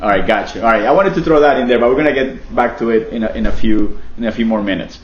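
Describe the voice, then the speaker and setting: Chatty man hosting an interview, jokey and animated, kinda echoey room, a bit muffled. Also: medium pitch, fast. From Colombia. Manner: animated, joking, repeats himself. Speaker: interviewer, real estate team leader. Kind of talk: casual interview.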